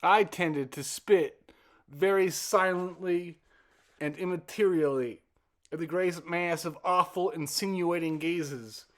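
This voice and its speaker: A man reciting his own poem as spoken word, in deliberate, broken phrases with halting pauses and repeated words. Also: a little high, a bit bright. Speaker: poet reciting own work.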